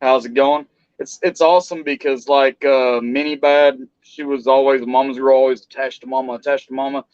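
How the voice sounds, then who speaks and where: A man's voice: medium pitch, fairly quick, smooth, dry recording, highs cut off. A man hosting a casual live stream, chatting loosely with many restarts about family and knives. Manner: relaxed, rambling with restarts.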